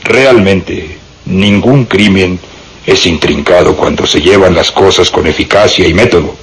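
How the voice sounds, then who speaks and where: An actor playing a stern, methodical police inspector in a radio drama, speaking formally and with authority. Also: male voice, sits low, measured pace. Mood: methodical, pressing. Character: stern, methodical, officious male Scotland Yard police inspector.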